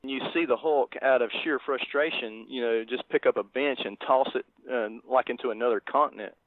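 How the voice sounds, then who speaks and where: Over a narrow, phone-like connection with no room sound, a man chats casually as a podcast co-host. His voice sits at a medium pitch, and his phrasing is halting and tentative. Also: dry recording. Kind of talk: casual film discussion. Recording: thin phone-like line, dry.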